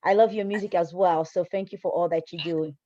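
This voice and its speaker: A woman with a soft-edged, mellow voice hosts a conversational interview. She is affirming and encouraging, and the sound is close, dry and a little dull. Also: fairly low pitch, fairly even intonation, brisk, dry recording. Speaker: interview host.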